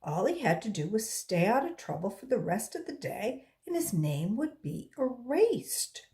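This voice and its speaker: A woman volunteer reading aloud to children in an expressive storytelling style, acting out the dialogue with emphasis. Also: on the high side, a little slow.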